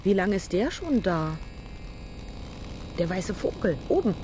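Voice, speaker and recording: A woman's voice: medium pitch, very animated, a little slow, noisy background. A woman playing a curious, sceptical young sister of a nonhuman race in a radio drama.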